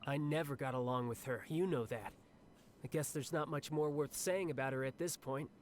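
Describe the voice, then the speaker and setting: An actor playing a cocky, cheerful young warrior officer in a video game, speaking in a breezy, confident, conversational way. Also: male voice, medium pitch, moderate pace, slight background noise, lightly acted. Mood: frank, resolute. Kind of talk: scripted video game character monologue.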